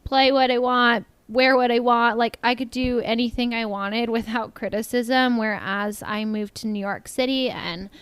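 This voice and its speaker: A young woman with a slight Texan twang, a podcast guest chatting casually, upbeat and quick to laugh, saying "like" often. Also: fairly high pitch, steady tone, fairly bright tone, smooth, dry recording. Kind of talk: casual interview chat.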